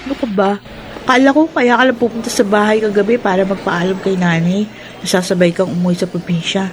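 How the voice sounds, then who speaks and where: A woman's voice: medium pitch, steady tone, quick pace, a little hiss or hum, lightly acted. An actress playing a hesitant young woman being courted in a radio drama, speaking earnestly with a Filipino accent. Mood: reproachful.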